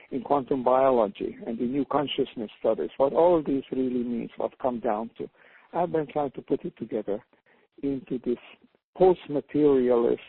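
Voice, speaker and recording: An older Hungarian man speaks English with his native accent, earnest and halting, repeating words as he builds his thoughts. His voice is husky and comes through a tinny phone line with a dry sound. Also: medium pitch, no room sound. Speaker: panel guest, author. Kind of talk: reflective panel talk by phone. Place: calling in from home abroad.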